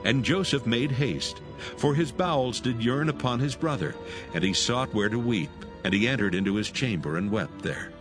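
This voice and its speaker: A man's voice: mid-range voice, moderate pace, music in the background. A man narrating solemn scripture as part of a dramatised audio Bible.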